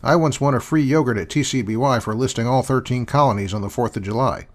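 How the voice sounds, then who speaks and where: A man's voice: mid-range voice, fast. A man hosting and answering questions in a dry, sarcastic, dismissive manner. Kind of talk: sarcastic commentary.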